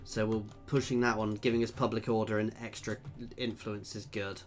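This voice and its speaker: A man's voice: fairly low pitch, little pitch movement, normal speed, faint music behind. A man giving casual commentary while playing a strategy game, thinking aloud and chatting with viewers. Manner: chatty, thinking aloud, reads out chat messages. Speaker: gameplay video creator.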